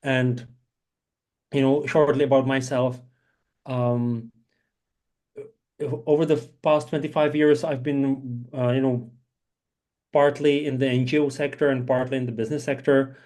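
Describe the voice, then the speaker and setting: Middle-aged man, conversational, flat intonation, dark warm tone, video call. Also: mid-range voice, a little slow, long pauses. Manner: conversational, frequent "you know" and "um". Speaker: impact investor, panelist. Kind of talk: webinar panel remarks.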